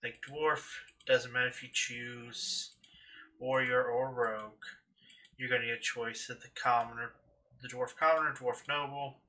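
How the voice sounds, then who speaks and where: Bright-voiced man doing game commentary. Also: medium pitch, fairly even intonation, unhurried. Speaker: gaming channel host. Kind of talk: casual game playthrough commentary. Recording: distant mic with faint noise.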